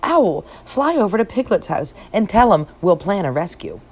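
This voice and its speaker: A woman's voice: mid-range voice, very animated, normal speed, a little hiss or hum. A woman voicing a kind young boy in a storybook, earnest and encouraging. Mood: decisive. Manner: earnest, praising. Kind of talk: storybook read-aloud.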